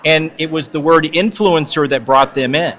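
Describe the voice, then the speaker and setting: A man with a somewhat high voice hosts a podcast interview. He puts questions and comments to his guest in a conversational style. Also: moderate pace, fairly bright tone. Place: podcast booth at a conference.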